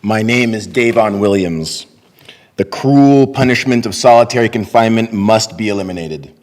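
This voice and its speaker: A middle-aged man reads a testimony aloud from a podium. His pitch is fairly low. The room is a large sanctuary with long reverb. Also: moderate pace. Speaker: readers theater performer. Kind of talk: dramatic reading of a first-person testimony. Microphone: podium microphone on a boom. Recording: reverberant hall.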